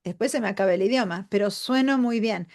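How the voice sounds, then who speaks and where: A woman's voice: medium pitch, brisk, a little mellow. A woman from Argentina with a Buenos Aires accent, chatting casually and laughing often. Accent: Buenos Aires Spanish. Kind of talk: casual conversation.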